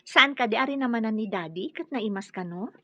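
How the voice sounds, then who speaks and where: An actress playing a dutiful young Filipino daughter-in-law who stands up for herself in an Ilocano radio drama. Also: female voice, on the high side, lively intonation, a little fast, pushed and bright, no echo, dull audio. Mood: indignant.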